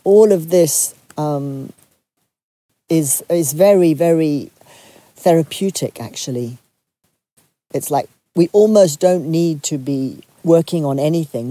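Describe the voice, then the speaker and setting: A retreat leader from Zambia giving a soft, reflective talk to a group, pausing often and restarting phrases. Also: mid-range voice, dry recording.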